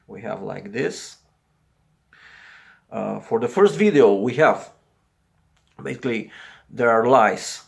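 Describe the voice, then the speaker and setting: Romanian guy talking english, slow and halting, gravelly lowish voice. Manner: halting, searching for words. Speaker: video commentator. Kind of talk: solo video commentary.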